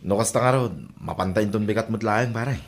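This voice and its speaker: A man's voice: on the low side, fairly quick, natural voice. A man with an Ilocano accent acting a familiar, persuasive friend in an audio drama. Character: persuasive, familiar buddy offering construction work.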